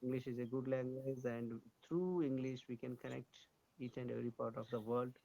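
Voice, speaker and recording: A man from India with an Indian accent speaks English, his second language after Kannada, over a video call. He talks haltingly, with frequent fillers and restarts. His voice sits mid-pitch and has a gravelly rasp. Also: steady tone, warm tone, lo-fi sound. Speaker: English learner. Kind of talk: casual conversation practice.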